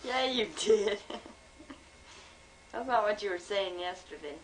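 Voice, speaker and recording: A woman's voice: medium pitch, slow pace. Young female, casual and teasing interviewer, mid-range voice, amateur camcorder sound with faint noise. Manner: playful, coaxing, giggly. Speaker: granddaughter filming and asking questions. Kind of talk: casual chat. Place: small home, indoors. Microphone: handheld camcorder, close behind camera. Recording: noisy amateur home video.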